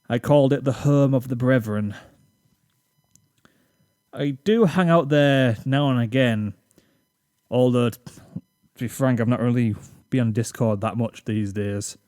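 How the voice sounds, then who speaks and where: A man's voice: mid-range voice, fairly even intonation, takes it slowly, pauses often, dark tone, no room sound. Awkward, rambling British man, dry sound. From Britain. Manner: rambling, self-deprecating, awkward. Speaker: YouTube channel host. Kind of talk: scripted channel reflection. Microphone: desk microphone.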